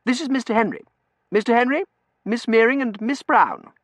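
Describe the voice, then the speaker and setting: A narrator voicing an earnest, courtly young gentleman suitor, formal and eager to please, in a storybook reading. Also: mid-range voice, expressive, normal speed, no echo, pitched above the performer's own voice, close to an everyday voice. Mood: earnest, fervent. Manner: polite, formal, fervent.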